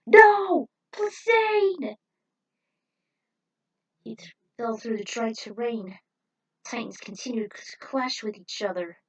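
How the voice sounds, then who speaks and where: A woman's voice: a little high, fairly even intonation, normal speed, poor audio. A woman narrating a scripted adventure story in a dramatic read-aloud manner, with the occasional stumble. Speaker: narrator reading own fan fiction.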